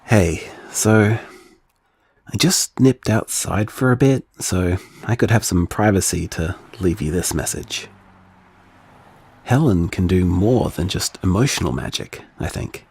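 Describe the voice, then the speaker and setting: An anxious, tender man speaks in an intimate audio drama monologue. His voice is slightly husky and unhurried, with pitch that swings expressively as he haltingly confides in his partner. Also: a little mellow, no echo, exaggerated voice. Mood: wondering, hushed. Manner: hesitant, earnest, halting phrasing.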